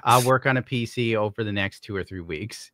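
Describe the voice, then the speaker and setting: A man's voice: lowish voice, brisk, sharp tone, dry recording, studio quality. A man hosting a livestream, reading donations and bantering casually in a blunt, profane, mocking way. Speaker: livestream host.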